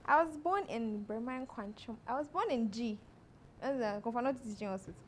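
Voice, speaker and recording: A woman's voice: on the high side, brisk. A young Ghanaian woman, an actress, chatting in an interview and telling stories with laughter. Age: young adult. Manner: laughing, animated storyteller. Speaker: actress guest. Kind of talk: casual interview chat.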